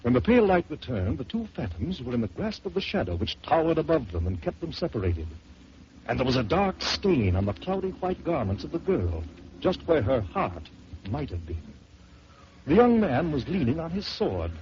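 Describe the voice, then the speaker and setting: A man narrating a first-person horror story in dramatic old-time radio style, swelling and dropping to hushed tones. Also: mid-range voice, very animated, normal speed. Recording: dull lo-fi old radio recording with hiss.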